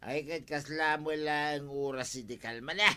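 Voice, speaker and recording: Bright-voiced, tipsy Ilocano husband. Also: male voice, on the high side, measured pace, clear, resonant tone. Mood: defensive, tipsy. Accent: Ilocano Filipino. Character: tipsy husband, silly and defensive. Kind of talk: radio comedy drama acting.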